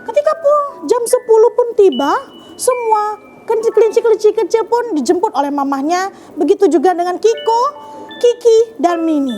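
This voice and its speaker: Very high-voiced woman telling a children's story. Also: expressive, normal speed, warm tone. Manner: interactive, checks in with the listeners. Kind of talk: animated storytelling addressed to young children. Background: music.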